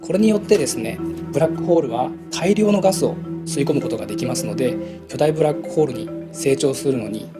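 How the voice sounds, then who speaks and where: A man's voice: mid-range voice, expressive, rapid-fire, hardly any pauses, loud music behind the voice. A man speaking as a research scientist, explaining his own findings in an interview.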